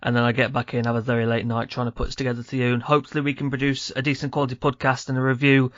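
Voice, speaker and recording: A man hosting a football podcast in brisk, upbeat presenter style, his voice a little croaky, speaking over a call. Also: mid-range voice, monotone, fairly bright tone, no room sound. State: slightly croaky voice. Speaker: podcast host. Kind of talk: casual football podcast chat. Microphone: remote call microphone. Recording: muffled dry call audio.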